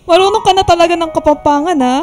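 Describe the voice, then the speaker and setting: A woman's voice: extremely high pitch, moderate pace. A woman playing a sweet, playful young woman in love in a Tagalog radio drama. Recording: clear guitar music underneath.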